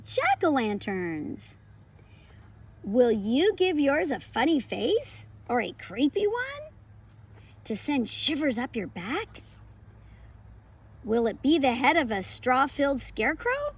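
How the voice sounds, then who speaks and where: An American woman hosting a homeschool lesson and children's read-aloud, with expressive, emphatic storybook phrasing. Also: high voice, takes it slowly. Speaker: homeschool educator and channel host.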